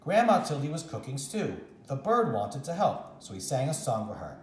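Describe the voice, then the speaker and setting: Animated man reading a storybook, roomy sound. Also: medium pitch, a little hiss or hum. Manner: expressive, dramatic pauses and repetition for young children. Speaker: teacher reading a children's story. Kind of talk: animated read-aloud storytime narration.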